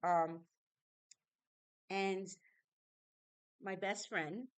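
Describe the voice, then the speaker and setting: A middle-aged woman from New York telling her childhood story, halting and reflective, with frequent fillers. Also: mid-range voice, steady tone, clear, resonant tone, no room sound. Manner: reflective, halting with fillers, laughs through painful memories. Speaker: singer. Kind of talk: personal storytelling.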